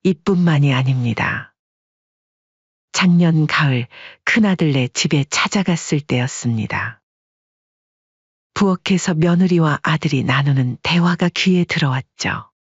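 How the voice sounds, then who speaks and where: A man narrating a family story in calm, measured audiobook style. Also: a little high, husky, no echo, lo-fi sound.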